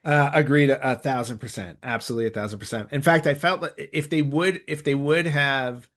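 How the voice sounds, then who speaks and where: A man's voice: mid-range voice, steady tone, a little fast, a bit bright. A man talking casually as a podcast host, enthusiastic and emphatic, often repeating phrases to stress his point.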